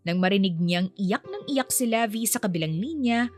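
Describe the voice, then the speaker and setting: A Filipino woman tells a romance story as a scripted narrator. Her pitch sits in the middle of the female range. Music plays clearly behind her voice. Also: lively intonation, normal speed.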